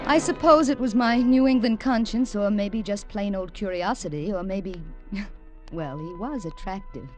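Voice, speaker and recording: A woman's voice: medium pitch, unhurried, music in the background, close to an everyday voice. An actress playing a young New England woman who narrates her own story in a 1950s radio thriller, stammering under stress. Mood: wistful. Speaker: narrator and lead. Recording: hissy old radio broadcast.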